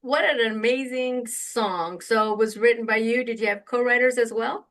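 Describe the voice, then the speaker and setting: A woman's voice: a little high, moderate pace, fairly bright tone. A woman hosting an interview, asking short eager questions and laughing often. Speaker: interview host. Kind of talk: friendly interview.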